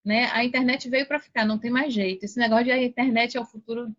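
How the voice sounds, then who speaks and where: Brazilian lady chatting on a podcast, highish voice, animated, sound a bit dull. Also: fast talker. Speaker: podcast guest, affiliate seller. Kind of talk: casual conversational storytelling. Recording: dull, band-limited sound.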